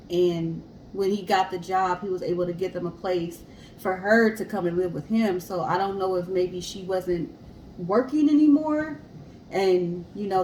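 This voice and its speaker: A woman with a mid-pitched voice hosts a casual history discussion. She explains things conversationally and talks straight to her listeners. The recording is roomy and echoey, with noticeable background noise. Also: darkish tone. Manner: explanatory, addresses listeners directly. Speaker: podcast co-host and storyteller. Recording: roomy echo, clear background hiss.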